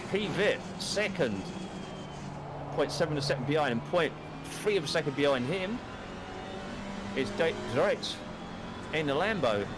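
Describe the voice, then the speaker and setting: A Cockney man in his fifties from East London, commentating a sim race live in a chatty, excitable way, swearing casually. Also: on the high side, measured pace, long pauses, noisy background. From East London, England. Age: 50s. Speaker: race broadcast commentator and streamer.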